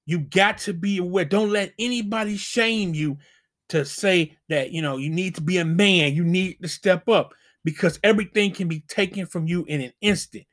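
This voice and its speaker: A man's voice: high voice, moderate pace, a bit bright. A middle-aged man hosting a channel, giving casual dating advice as an emphatic, preachy warning full of slang.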